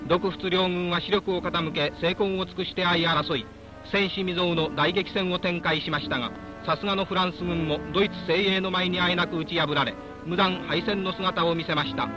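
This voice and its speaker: A man's voice: sits high, steady tone, normal speed, highs cut off. A man narrating a 1940s wartime newsreel in a formal, declamatory and solemn dramatic style, on an old film soundtrack. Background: loud music.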